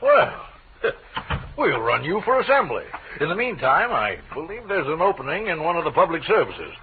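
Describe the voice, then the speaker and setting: An actor playing a blustering, self-satisfied older crooked boss in a 1940s radio drama, on a narrow, noisy broadcast. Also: male voice, a little high, sing-song, moderate pace. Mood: smug, jovial.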